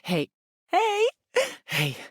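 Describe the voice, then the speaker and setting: An ancient female air spirit for a video game. Her delivery swings widely in pitch and takes its time, lingering on repeated words. The recording is dry and close. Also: wildly swooping pitch, very slow, dry recording, exaggerated voice. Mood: excited. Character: air personified as woman, aloof ancient spirit turned giddy chatterbox.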